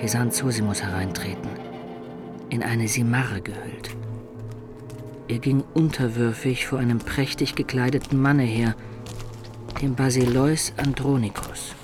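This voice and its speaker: A man narrating a dark adventure tale in the first person for a German radio play, measured, composed and literary. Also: mid-range voice, fairly even intonation, music in the background, lightly acted. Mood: tense, observant.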